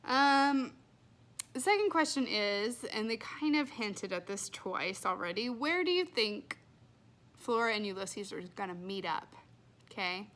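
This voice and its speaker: A woman's voice: mid-range voice, lively intonation, unhurried, a bit bright. A woman hosting a children's read-aloud, telling the story expressively.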